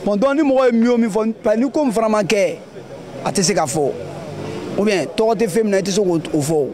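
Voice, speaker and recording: A man's voice: high-pitched, brisk, darkish tone. A young man answering a street interview at an outdoor market, emphatic and repeating his points. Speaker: passerby interviewee. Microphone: handheld mic held by interviewer. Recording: crowd noise of a busy market.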